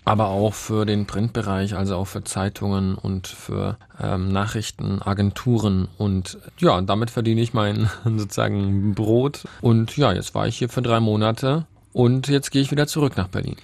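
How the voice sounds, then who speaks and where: A young man from Upper Silesia, a journalist, answering interview questions in a radio studio in an articulate, measured way. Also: fairly low pitch, level tone, vocal fry, darkish tone, no echo. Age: young adult.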